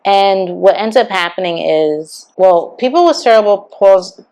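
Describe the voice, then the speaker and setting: A woman with a slightly raspy voice talking candidly and chattily in a casual personal vlog. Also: mid-range voice, lively intonation, normal speed, darkish tone. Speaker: vlogger.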